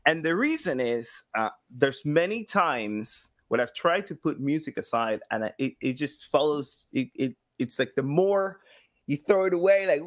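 A man in his thirties from South America, telling stories casually as an interview guest, acting out quotes and pausing to find words. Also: fairly high pitch, sing-song. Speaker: podcast guest, sound designer. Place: small home room, video call. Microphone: boom-arm microphone with headset.

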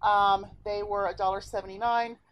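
A woman's voice: mid-range voice, flat delivery, normal speed, highs cut off, slight background noise. A woman, chatting upbeat through a grocery haul at home. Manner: chatty, upbeat, talks through prices and coupons. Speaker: vlogger showing a grocery haul. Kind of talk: casual chat. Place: home, indoors.

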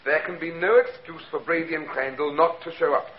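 An actor playing a suave, murderous gang boss in 1940s radio style, with precise, mock-courteous formality. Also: male voice, a little high, steady tone, fairly quick, natural voice. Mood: smooth, formal. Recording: muffled, noisy 1940s radio recording.

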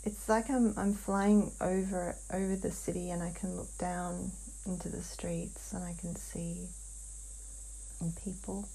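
A woman describing a trance vision in a dreamlike way as a guided hypnosis client, halting and hushed, with long pauses. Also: fairly low pitch, little pitch movement, creaky, husky, poor audio.

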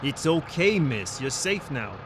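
A highway patrolman in an audio drama. He is matter-of-fact and slangy, with a lively mid-range voice over very loud roadside noise. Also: a little slow. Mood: calming. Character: highway patrolman, brisk, dismissive, folksy. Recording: very noisy outdoor roadside sound effects.

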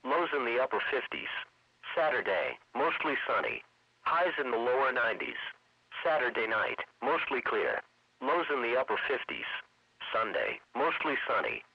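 A man's synthetic voice reading a scripted weather forecast in a measured, impersonal way. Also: mid-range voice, dry recording. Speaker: weather radio announcer. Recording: dull lo-fi weather radio broadcast.

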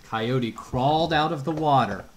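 A man's voice: mid-range voice, a little hiss or hum. A middle-aged man reading a storybook to a young child, expressively, stopping to ask questions. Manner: unhurried, expressive, asks questions as he reads. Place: home kitchen.

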